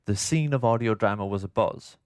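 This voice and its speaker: A man narrating a scripted documentary in a formal, measured, literary way, close on the microphone. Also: on the low side, smooth, no echo. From Ireland. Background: music.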